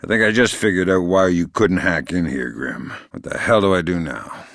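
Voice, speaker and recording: A man playing a middle-aged, dry-witted, gruff covert operative in a video game, deadpan, sardonic and quietly menacing. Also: sits low, normal speed, dry recording. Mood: focused, terse. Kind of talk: video game voice acting, isolated dialogue lines.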